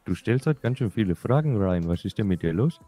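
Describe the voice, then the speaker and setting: A man's voice: fairly low pitch, expressive, fairly quick, mellow. A man chatting casually in a role-play game, easygoing and agreeable. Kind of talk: casual in-character chat.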